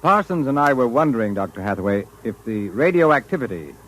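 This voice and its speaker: An actor playing an observant, skeptical ship's doctor, polite and probing, in 1950s radio drama style. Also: male voice, medium pitch, very animated, a little fast, a bit bright, a little hiss or hum, poor audio. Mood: curious.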